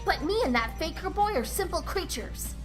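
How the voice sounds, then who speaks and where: A woman's voice: extremely high pitch, animated, moderate pace, soft background music. A woman voicing a hot-headed young female tenrec fighter in a comic dub, cocky, snappy and sarcastic. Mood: aggressive, taunting.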